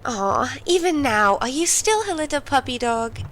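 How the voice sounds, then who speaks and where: A woman's voice: high-pitched, sing-song, moderate pace, fairly bright tone. A woman voicing a mischievous, irreverent young aristocrat with a morbid streak in an audio drama, teasing, sarcastic and theatrical. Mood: gleeful mockery.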